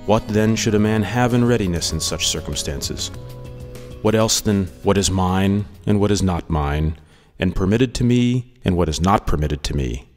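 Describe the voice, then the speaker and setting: A man with a fairly low voice reads philosophy aloud. His delivery is deliberate and measured, in the style of a radio monologue. Music plays clearly behind him. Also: darkish tone. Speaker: audiobook reader. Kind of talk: scripted dramatic reading of philosophy over music.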